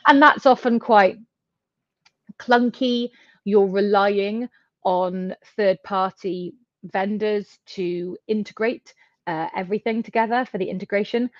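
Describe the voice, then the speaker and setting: A middle-aged woman from the UK explains things in a chatty, conversational interview style. Her voice is mid-pitched, and the recording is close and dry with a slightly dull, lo-fi sound. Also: unhurried, no echo. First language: English. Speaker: interview guest, company founder and CEO.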